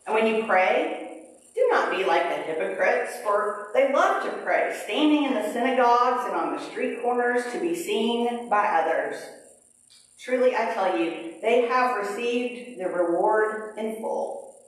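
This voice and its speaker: A middle-aged woman pastor leading a prayer and reading scripture in a measured liturgical way, at a pulpit in a large church. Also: on the high side, animated. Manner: slow, measured liturgical delivery. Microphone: pulpit mic, far camera. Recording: very echoey sanctuary, distant camera.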